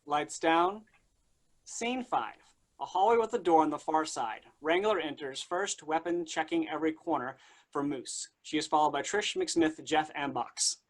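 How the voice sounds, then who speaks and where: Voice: mid-range voice, measured pace. A narrator reading stage directions in a scripted narration style at a staged play reading.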